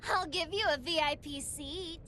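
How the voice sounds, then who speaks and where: A woman's voice: high voice, moderate pace, pushed and bright, slight background noise. An actress playing a gluttonous, playfully menacing female android villain in an anime video game, with a taunting, mock-sweet delivery. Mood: smug, taunting.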